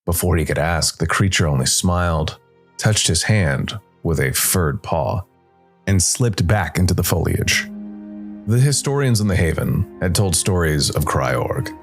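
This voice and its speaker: A man's voice: sits low, expressive, unhurried. A man narrating a scripted fantasy tale dramatically as a storyteller. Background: music.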